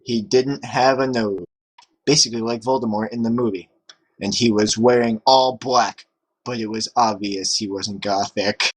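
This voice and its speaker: A man's voice: lowish voice, steady tone, moderate pace, husky, low-quality recording. A man doing a comedic, dramatic read-aloud of fan fiction among friends.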